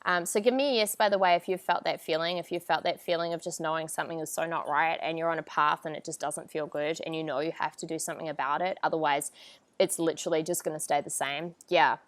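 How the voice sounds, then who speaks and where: A woman's voice: low-pitched, fairly even intonation, quick pace, no room sound. A woman in her thirties with a New Zealand accent, giving a chatty, upbeat live-streamed motivational talk through an earphone mic. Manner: upbeat, chatty, often laughs and asks viewers for a yes. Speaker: online coach hosting a live training. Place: outdoor terrace with palm trees. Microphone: wired earphone mic on a video call.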